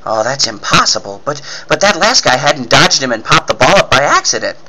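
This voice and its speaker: Man playing an excitable boy sidekick, wildly swooping pitch. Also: brisk, crowd noise, close to an everyday voice, rough recording. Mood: puzzled. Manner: gushing, wide-eyed exclamations. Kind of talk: 1940s radio serial acting.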